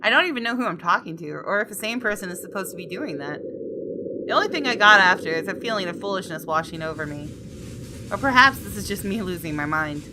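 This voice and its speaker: A woman's voice: medium pitch, animated, fast, background noise. A woman hosting a gaming video, reading a visual novel aloud expressively and adding casual asides.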